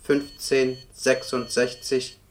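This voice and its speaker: A man playing a deadpan court computer in a radio play. The male voice reads official notices in a flat monotone at middling pitch, over audible background noise. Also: slow pace, close to an everyday voice. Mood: impersonal, official. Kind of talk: formal document reading in a radio play. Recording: clearly noisy radio play recording.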